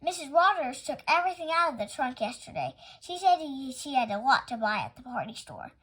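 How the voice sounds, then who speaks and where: A woman's voice: sits high, sing-song, brisk, fairly bright tone, low-quality recording. A woman voicing a cheerful, literal-minded, muddled woman driver in a children's storybook, earnest and innocent.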